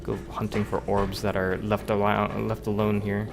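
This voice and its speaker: A man's voice: lowish voice, monotone, moderate pace, vocal fry, very noisy. A man livestreaming a video game, muttering casual commentary to himself with exasperated, profane grumbling. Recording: loud music behind the voice. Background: loud music.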